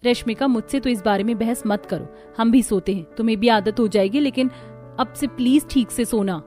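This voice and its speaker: Brief: actress playing an admonishing cartoon sister-in-law, preachy grown woman, higher voice over faint music. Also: fairly quick, mellow, natural voice. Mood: reproachful. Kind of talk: Hindi cartoon story voice acting.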